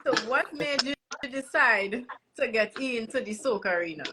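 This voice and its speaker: A woman hosting a casual live interview, reacting in a lively, encouraging way. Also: fairly high pitch, moderate pace, noisy background. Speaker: interview host.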